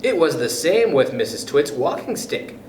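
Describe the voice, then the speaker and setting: A man reading a children's story aloud in an expressive narrating style. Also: a little high, moderate pace. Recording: echoey room with faint noise.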